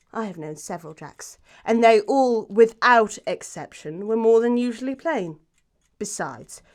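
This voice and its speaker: A woman's voice: medium pitch, close to an everyday voice. A woman playing a self-assured, romantic young upper-class English lady, poised and precise, in an audiobook stage comedy. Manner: poised, precise, grandly emphatic.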